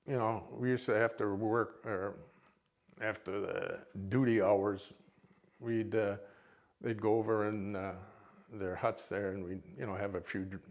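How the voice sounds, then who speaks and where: An older man with a Minnesota accent, informally reminiscing to an audience as a veteran in a rambling, folksy way. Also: on the low side. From Minnesota, United States. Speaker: military veteran, guest speaker.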